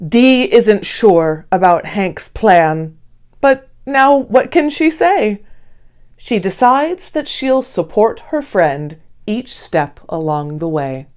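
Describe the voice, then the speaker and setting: A woman reading a children's picture book aloud expressively, with deliberate storyteller phrasing. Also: mid-range voice, big pitch swings, a little mellow, clear, resonant tone. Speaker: read-aloud host.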